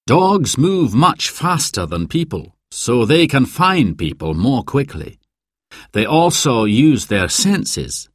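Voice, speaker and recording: A man's voice: medium pitch, very animated, slow, no echo, clean studio sound, voice pushed up. A man voicing a kindly older male hostel keeper who explains things patiently in a storybook.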